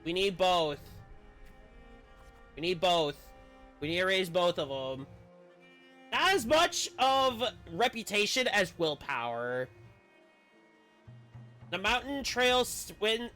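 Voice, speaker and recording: High, bright male game streamer narrating. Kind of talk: reading game story aloud with casual commentary.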